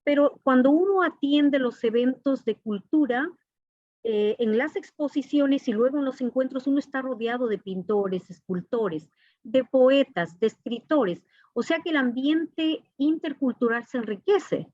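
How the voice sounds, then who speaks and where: A woman's voice: sits high, expressive, a little slow, a little mellow. A woman from Ecuador, giving earnest, formal, explanatory answers as an interview guest. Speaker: interview guest, artist and professor. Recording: dull, echoey lo-fi call audio.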